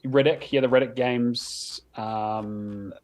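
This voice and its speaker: A man's voice: medium pitch, steady tone, takes it slowly, a little mellow, poor audio. A man originally from Sweden chatting casually and with plenty of swearing as a podcast co-host. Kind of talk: casual chat about video games.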